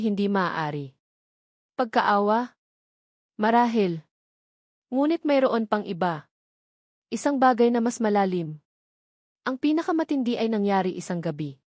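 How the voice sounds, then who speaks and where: A woman with a Filipino accent narrating a scripted romantic drama in a measured, dramatic way with emphatic short phrases. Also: mid-range voice, darkish tone, no echo, studio quality.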